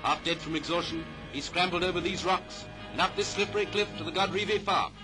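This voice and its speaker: A man's voice: high voice, fairly even intonation, fairly quick. A British man delivering scripted 1930s newsreel commentary in a clipped manner, on a vintage soundtrack. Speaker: newsreel narrator. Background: music.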